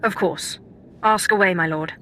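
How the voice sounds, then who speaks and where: A bright, calculating Sith acolyte. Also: female voice, on the low side, moderate pace, a little hiss or hum. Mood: deferential. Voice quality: cool, calculating. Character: cold, ambitious female Sith acolyte. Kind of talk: video game voice acting.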